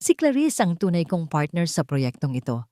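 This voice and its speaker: A woman's voice: fairly low pitch, big pitch swings, moderate pace, dark tone, dry recording. A woman narrator plays a self-satisfied Filipino tycoon in an audiobook drama. He speaks proudly and declaims as if from a stage. The recording is dry. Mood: proud, showy. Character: boastful, self-satisfied successful businessman giving a speech.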